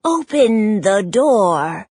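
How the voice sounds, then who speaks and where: A woman voicing a sly male wolf in a children's storybook, with a rough, slow, coaxing delivery. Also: medium pitch, big pitch swings, darkish tone, no echo, studio quality, exaggerated voice.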